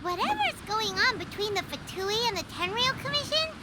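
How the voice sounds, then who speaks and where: Paimon, a squeaky little fairy sidekick. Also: female voice, expressive, normal speed, very noisy. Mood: determined. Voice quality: high squeaky childlike voice. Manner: refers to herself in third person. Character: tiny floating fairy companion, chirpy and nosy. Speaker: companion. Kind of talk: game voice acting.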